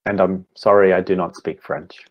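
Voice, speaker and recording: A man in his fifties with a New Zealand accent, giving an online talk as a meditation teacher, speaking slowly and deliberately with gentle pauses. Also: low voice, steady tone, dull audio. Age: 50s. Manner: slow, deliberate, gently pausing. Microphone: video call.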